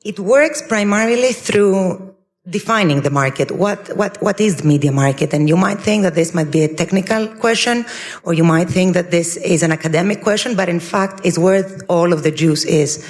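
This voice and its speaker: A woman's voice: on the low side, moderate pace. A woman with a Greek accent, an economist giving a conversational, self-deprecating panel presentation at a conference. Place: large conference hall. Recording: long hall reverb at a conference.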